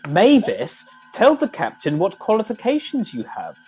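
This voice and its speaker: A man's voice: high voice, huge pitch swings, moderate pace, darkish tone, muffled recording, soft background music. A man playing a polite, mild-mannered middle-aged sergeant in an amateur comedy radio play, courteous and hesitant. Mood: gently chiding.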